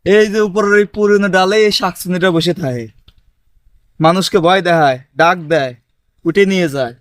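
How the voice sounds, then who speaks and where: A man's voice: high-pitched, normal speed, a bit bright, no echo, studio quality. A man playing a village elder brother, cautioning and scolding in rustic dialect.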